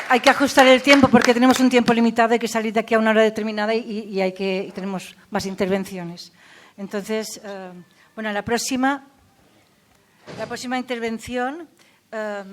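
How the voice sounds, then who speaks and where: Brisk woman moderator, slightly high. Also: steady tone, a faint crowd, a little hiss or hum. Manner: brisk, apologetic about time. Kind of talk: moderating a panel.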